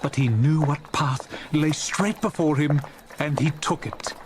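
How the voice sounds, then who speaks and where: A man narrating a Victorian Christmas tale as a genial, expressive, theatrical audiobook storyteller. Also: on the high side, unhurried, noisy background, close to an everyday voice. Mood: warm, measured.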